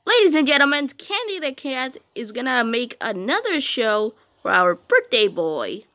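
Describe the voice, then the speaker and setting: A woman playing a cheerful animatronic cat mascot from a horror game, announcing the show. The voice is bright and fairly high, with big swings in pitch. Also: big pitch swings, normal speed, exaggerated voice. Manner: announcer-like, addressing an audience. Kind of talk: showman-like character voicelines.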